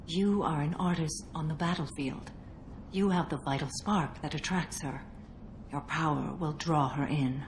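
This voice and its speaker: Low-voiced solemn alien warrior woman. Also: a little hiss or hum. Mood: grave, intent. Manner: measured, formal, grave. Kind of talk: video game cutscene acting. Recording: echoey hall, loud music behind.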